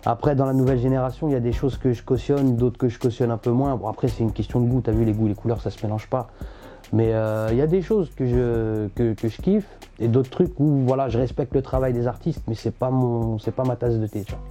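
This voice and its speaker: Young man, rapper guest, mellow dark voice, relaxed and hesitant, faint music behind. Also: medium pitch, fairly quick. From France. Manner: relaxed, hesitant with many fillers. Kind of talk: casual interview chat.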